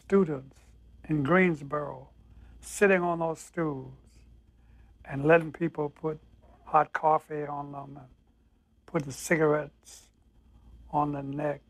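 Slow, husky-voiced older man recalling. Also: a little high, fairly even intonation. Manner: slow, emphatic recollection. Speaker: interviewee recalling the civil rights movement. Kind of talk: documentary interview.

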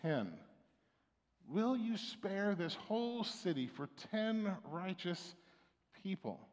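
A man's voice: sits high, expressive, takes it slowly, darkish tone. Middle-aged man, pastor at the pulpit, conversational preacher, lots of restarts, recorded with long church reverb. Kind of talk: sermon. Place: church sanctuary. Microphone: stand mic at pulpit, far camera.

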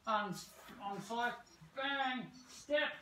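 A middle-aged male martial arts teacher demonstrating as he talks, casual and playful, punching out moves with sound words. He is picked up by a camera some way off on a covered veranda, with roomy echo and clear background noise. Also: extremely high pitch, extremely slow, pushed and bright. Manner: physically active while talking. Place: covered brick veranda outdoors. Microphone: camera several metres away. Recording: distant camera mic, roomy, noisy.

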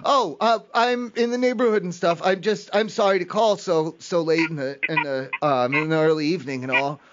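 A man's voice: a little high, fairly even intonation, moderate pace, background noise. A man making an improvised prank phone call, rambling, stammering and pestering whoever he has reached. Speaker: prank caller.

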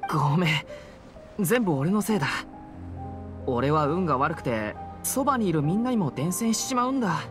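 Earnest, animated teenage boy adventurer. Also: on the high side, moderate pace, over music. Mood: apologetic. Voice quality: youthful, boyish. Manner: earnest, upbeat, casual boyish speech. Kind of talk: Japanese anime game voice acting.

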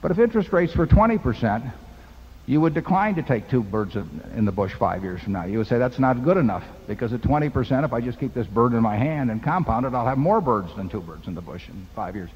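A man's voice: mid-range voice, animated, quick pace, breathy edge. An older man seated at a table microphone on a hall stage, patiently explaining investing to shareholders with a folksy parable and simple arithmetic. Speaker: company chairman answering shareholder questions. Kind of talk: unscripted explanation at a podium. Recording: dull lo-fi hall recording with long reverb.

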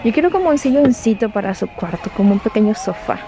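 A woman's voice: medium pitch, animated, fast talker. A young woman chatting casually to her viewers and her children while she shops and films herself on a handheld camera inside a large store. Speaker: vlogger. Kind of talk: casual vlog chat while shopping. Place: large retail store, indoors. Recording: noisy store background.

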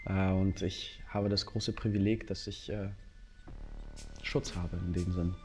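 Low-voiced man, halting and reflective. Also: flat delivery, darkish tone. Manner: halting, searching for words. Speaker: interviewee, actor. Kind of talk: reflective personal testimony. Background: music.

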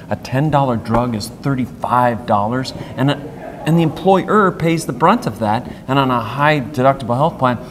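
A man in his fifties giving conversational interview answers, plain-spoken and explaining through stories and analogies. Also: mid-range voice. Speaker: interview guest, industry executive. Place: hotel conference corridor, indoors. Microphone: clip-on wireless lapel mic. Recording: long hall reverb, faint background noise.

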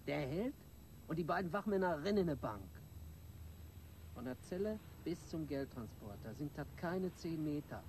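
An actor playing a cool, bossy robber in a radio crime drama, giving businesslike, colloquial instructions. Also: male voice, a little high, lively intonation, normal speed, darkish tone, slight background noise, poor audio. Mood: cool, commanding. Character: cool, bossy male robber planning a heist.

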